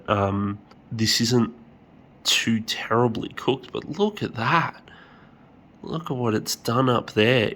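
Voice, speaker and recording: A man's voice: mid-range voice, fairly bright tone. A man with a stuffy, nasal voice from a cold, casually talking through a repair with lots of ums and the odd swear. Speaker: hobbyist repair vlogger. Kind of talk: casual hands-on tutorial.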